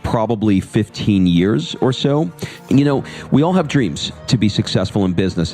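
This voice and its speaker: A man's voice: on the low side, darkish tone. A man hosting an interview podcast, speaking in an upbeat presenter style. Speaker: podcast host. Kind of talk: upbeat scripted introduction and interview.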